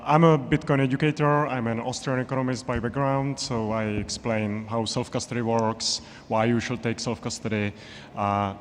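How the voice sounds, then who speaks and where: Czech guy on a panel, medium pitch, roomy hall sound with some noise. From Prague, Czech Republic. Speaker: panelist, Bitcoin educator. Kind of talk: informal self-introduction.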